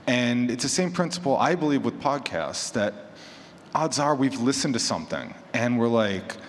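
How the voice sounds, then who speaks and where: A man's voice: medium pitch, animated, normal speed. A man talking casually on a panel, in a conversational, encouraging manner. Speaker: panelist and horror fiction podcaster. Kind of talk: casual panel talk to an audience. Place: large indoor room at a convention panel. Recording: echoey hall with clear background noise.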